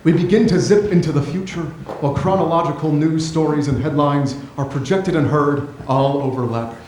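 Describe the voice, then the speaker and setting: A man's voice: on the high side, fairly even intonation, a little fast, darkish tone. A man reading stage directions as scripted narration at a staged theatre reading. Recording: echoey theatre with faint noise.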